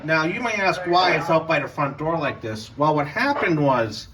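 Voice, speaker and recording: A man's voice: a little high, lively intonation, moderate pace. A man giving a casual walkthrough of his equipment, rambling and hesitant as he thinks aloud, recorded indoors at home. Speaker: emergency medical worker and radio hobbyist. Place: indoors in a house. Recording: home recording with faint background noise.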